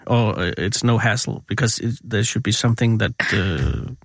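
A man's voice: lowish voice, animated, moderate pace, no echo, studio quality. A middle-aged man, hosting a casual interview in a teasing, jokey way. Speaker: radio host.

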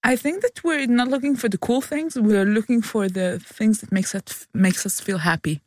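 A woman's voice: a little high, normal speed, no room sound, clean studio sound. A pregnant woman in her thirties from Israel, with an Israeli accent and Hebrew as her first language, chatting as a guest in hesitant English. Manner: hesitant, searching for English words. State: heavily pregnant. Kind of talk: casual chat.

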